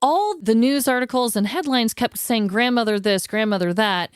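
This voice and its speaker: A woman's voice: on the high side, moderate pace, clear, resonant tone, no room sound, clean studio sound. An American woman co-hosting a podcast in casual, humorous chat, talking in a chatty, informal, giggly way. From United States. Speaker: podcast co-host. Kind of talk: casual comedy chat about true crime.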